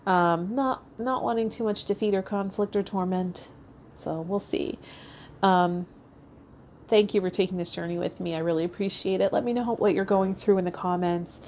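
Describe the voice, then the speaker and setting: A woman's voice: medium pitch, normal speed, a little mellow, slight background noise. A woman hosting a tarot vlog, reading aloud and talking to her viewers. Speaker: tarot channel host. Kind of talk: reading card meanings aloud and casual vlog talk.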